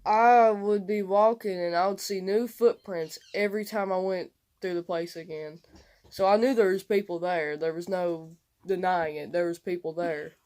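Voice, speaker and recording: A young man recounting an experience casually and excitably in colloquial speech. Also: sits high, moderate pace. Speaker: co-host telling a personal story. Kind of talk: casual storytelling chat.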